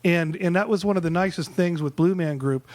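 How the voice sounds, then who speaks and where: Rambling high-voiced man in his forties. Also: brisk, no echo. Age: 40s. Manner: rambling, enthusiastic, repeats words as he restarts. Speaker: musician guest. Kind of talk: casual storytelling.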